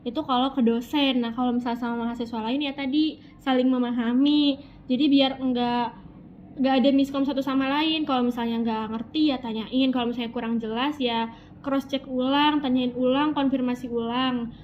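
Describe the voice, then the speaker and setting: A woman's voice: high voice, steady tone, normal speed. Chatty young woman, lo-fi recording. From West Java (Sundanese region), Indonesia. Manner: chatty, informal Jakarta slang, frequent fillers. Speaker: university student, interviewee. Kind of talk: casual interview answers. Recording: muffled lo-fi audio with faint background noise.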